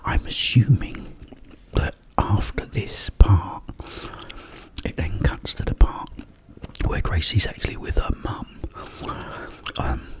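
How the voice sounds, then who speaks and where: A man whispering up close in an unhurried ASMR ramble, with breathy delivery and hesitant pauses. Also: low voice, expressive, low-quality recording. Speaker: ASMR host. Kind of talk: ASMR whispered ramble about a video game. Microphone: close-up microphone.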